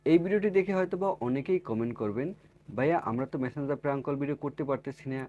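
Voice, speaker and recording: A man's voice: mid-range voice, racing, darkish tone, dull audio. A man narrates a how-to video for viewers as its host. His delivery is instructional and scripted, built on repeated step-by-step phrasing. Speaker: tech tutorial video host.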